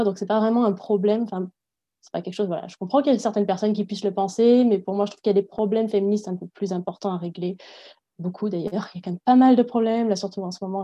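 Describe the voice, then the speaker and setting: A woman's voice: mid-range voice, mellow, dull audio. A young woman from France, a language teacher, talking frankly and reflectively in a casual interview, thinking aloud as she goes.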